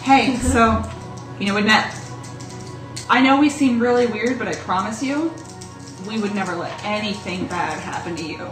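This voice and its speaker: A woman's voice: medium pitch, moderate pace, pauses often. A woman playing a tabletop role-playing game, talking casually with blunt, profane language. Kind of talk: casual improvised game chat. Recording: echoey room, distant mic, clear music behind.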